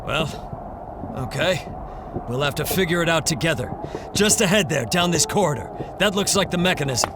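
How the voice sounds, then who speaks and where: A man's voice: on the high side, expressive, normal speed, heavy background noise, lightly acted. A man playing a bold, confident monster hunter in an audio drama, commanding and hearty. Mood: focused. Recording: loud music under the voice.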